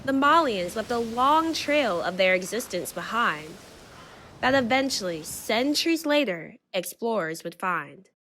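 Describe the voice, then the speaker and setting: A woman reading a children's book aloud as expressive, rhythmic, dramatic storybook narration. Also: fairly high pitch, a little slow. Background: music.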